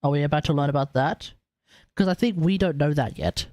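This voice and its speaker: A woman's voice: sits low, brisk, a little mellow, no echo, studio quality. A woman streaming a game, giving casual live commentary and musing aloud as she reacts.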